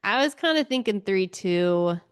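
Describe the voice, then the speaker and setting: A woman's voice: mid-range voice, lively intonation, unhurried, smooth, no echo. Casual woman co-host, close dry sound. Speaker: book podcast co-host. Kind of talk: casual chat.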